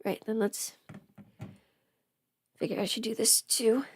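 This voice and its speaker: A woman's voice: a little high, fairly even intonation, takes it slowly, husky. A woman chatting casually on a livestream while busy with her makeup, rambling and trailing off as if half talking to herself. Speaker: livestream host.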